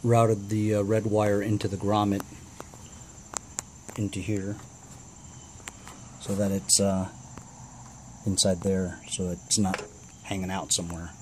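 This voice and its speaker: Male DIY host, lowish voice, informal and halting, explaining as he works, with clear background noise and insect chirps. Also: a little mellow, poor audio. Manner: halting, thinking aloud, often tagging "you know". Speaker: host of a DIY repair vlog. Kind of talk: casual hands-on tutorial narration.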